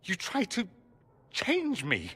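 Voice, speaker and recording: A man's voice: sits high, huge pitch swings, takes it slowly, bright, forceful tone, husky, exaggerated voice. An actor playing a proud, witty, wounded young nobleman mage in a video game, with an arch, articulate, theatrical delivery. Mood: angry, hurt. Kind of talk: video game cutscene acting.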